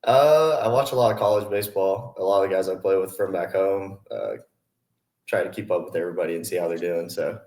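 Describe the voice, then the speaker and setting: A man's voice: deep voice, fairly quick. A man in his twenties from Hawaii, an easygoing college athlete chatting casually as an interview guest. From Hawaii, USA. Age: 20s. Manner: easygoing, mellow. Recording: roomy, echoing sound.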